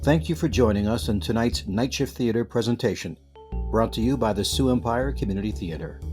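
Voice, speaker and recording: An actor playing a suave, sinister mystery-show announcer in 1940s radio style, deliberate and theatrical, over music. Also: male voice, medium pitch, natural voice. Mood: ominous.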